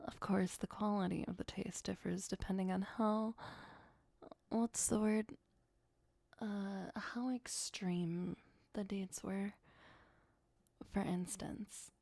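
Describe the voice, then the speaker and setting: A woman playing a possessive, doting vampire girlfriend in an ASMR roleplay, speaking softly and sweetly with breathy, often whispered delivery. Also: medium pitch, no room sound. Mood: delighted, indulgent.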